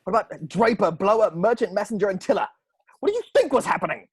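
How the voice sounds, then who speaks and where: A man in an at-home table read speaks quickly and urgently. His voice is husky, and his pitch rises and falls a lot over the video call. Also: animated.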